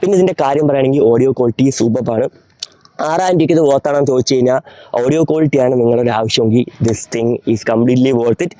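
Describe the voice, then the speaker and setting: A man's voice: mid-range voice, dark tone, no room sound. A young man with a Malayali accent, chatting casually through a gear review and mixing in English words. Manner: rapid, chatty, mixes English words into his speech.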